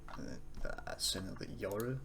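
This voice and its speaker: A man's voice: measured pace, fairly bright tone. A man presenting a casual screen-recorded tutorial, explaining in an unscripted, hesitant way. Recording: noisy home recording, keyboard typing.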